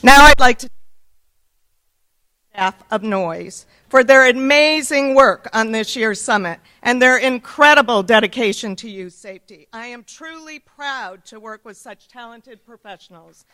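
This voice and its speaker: A woman giving a formal welcome speech as head of the organizing group, with a loud, upbeat voice, reading thanks and introductions at a podium in a conference room. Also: fairly high pitch, animated, measured pace, low-quality recording. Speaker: CEO opening a summit.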